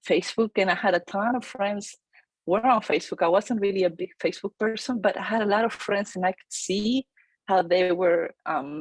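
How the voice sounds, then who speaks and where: A woman, telling her story as an interview guest, energetic with many fillers and restarts. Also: mid-range voice, slightly rough, no echo, low-quality recording. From Chile. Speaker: real estate agent, guest.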